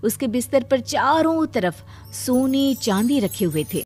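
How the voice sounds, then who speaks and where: A woman's voice: high-pitched, lively intonation, unhurried, over loud music. A woman narrator reading a scripted children's cartoon story.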